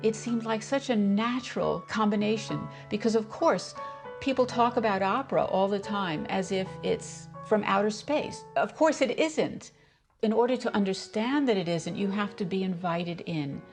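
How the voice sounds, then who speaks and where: Lowish, gravelly woman over music. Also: moderate pace. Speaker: foundation leader. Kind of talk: documentary interview. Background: music underneath.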